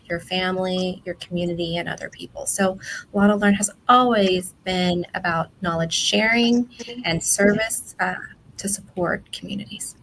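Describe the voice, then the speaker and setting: A woman's voice: on the low side, fairly even intonation, moderate pace, fairly bright tone, poor audio. A woman with an American accent telling her story conversationally as an interview guest on a remote call. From born in Vietnam, grew up in USA from infancy. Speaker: education company leader, interview guest. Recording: faint background noise, remote call.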